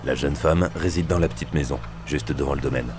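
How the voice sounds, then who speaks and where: Man acting a very deep-voiced, measured police boss. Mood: grave, confiding. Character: paternal male police boss briefing his agent. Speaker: police superior. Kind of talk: French audio drama thriller acting. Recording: background music under the voice.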